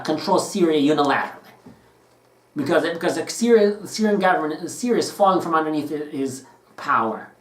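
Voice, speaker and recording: Male vlogger, earnest and opinionated, halting delivery, distant mic in an echoey room. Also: on the high side, a bit bright. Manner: earnest and opinionated, often stumbling and restarting phrases. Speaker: political commentary vlogger. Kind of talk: unscripted opinion monologue to camera. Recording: roomy sound, speaker away from the mic.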